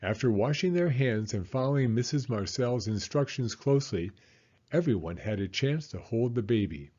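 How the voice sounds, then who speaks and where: A man reading a children's story aloud as an animated narrator. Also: mid-range voice, normal speed, highs cut off.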